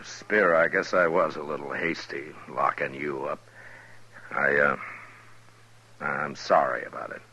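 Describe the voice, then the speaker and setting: An actor playing a fair-minded, plain-spoken American marshal in a 1950s radio western. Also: male voice, a bass voice, fairly even intonation, unhurried, long pauses, sharp tone, slightly rough, exaggerated voice. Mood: conciliatory. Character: gruff, fair-minded frontier marshal in an old West town. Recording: muffled vintage radio recording.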